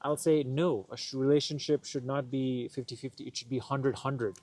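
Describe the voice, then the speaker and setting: A man's voice: medium pitch, steady tone, moderate pace, darkish tone. A young man, a vlogger, answering viewer questions in a laid-back, joking way with some hesitant fillers. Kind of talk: casual Q&A chat.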